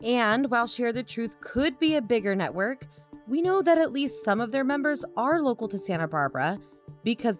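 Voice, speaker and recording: A woman playing a concerned investigative podcast co-host, narrating in an expressive, conversational way. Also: fairly high pitch, close to an everyday voice. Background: background music.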